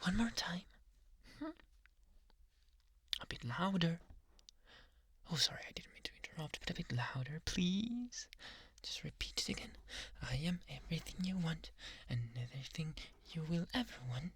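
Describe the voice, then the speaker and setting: A man voicing a possessive, obsessive yandere doctor in an anime ASMR boyfriend roleplay, speaking softly and coaxingly, often in whispers. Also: fairly high pitch, lively intonation, normal speed, pauses often, slightly rough, no echo, voice pushed up. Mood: commanding, coaxing. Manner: soft, intimate, coaxing, often dropping into whispers. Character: possessive, obsessive male yandere doctor, sweetly menacing lover. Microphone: close microphone.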